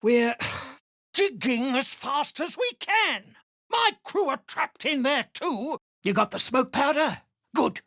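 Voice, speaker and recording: A woman playing a tough, desperate captive miner in a video game, speaking with urgent, gruff intensity. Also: high voice, expressive, takes it slowly, a bit bright, no echo, studio quality, exaggerated voice. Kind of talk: scripted game character lines.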